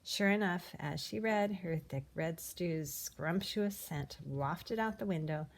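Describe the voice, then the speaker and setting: Low-voiced woman reading a children's storybook. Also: slow. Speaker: storybook reader. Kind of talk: expressive read-aloud of a children's picture book.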